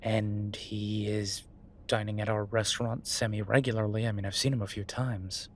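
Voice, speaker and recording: A man's voice: fairly low pitch, little pitch movement, moderate pace, a little hiss or hum, close to an everyday voice. A man acting as an easygoing chef talking to a partner in a naturalistic audio roleplay, conversational, hesitant and wry. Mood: surprised, curious. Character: Beau, easygoing male chef, reassuring his werewolf partner. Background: ambient sound effects.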